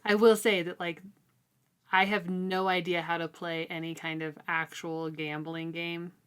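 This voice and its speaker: A woman co-hosting a casual, informal book discussion, talking in a halting, conversational way. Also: fairly low pitch, little pitch movement, fairly bright tone, clear, resonant tone. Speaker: co-host of a book read-along discussion.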